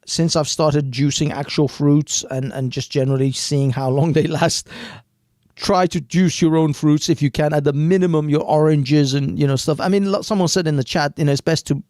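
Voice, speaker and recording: A man's voice: on the high side, fairly even intonation, normal speed, a little mellow, no room sound, studio quality. A man in his fifties from Iran, hosting a live stream and chatting casually, rambling and swearing freely. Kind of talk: casual crude chat.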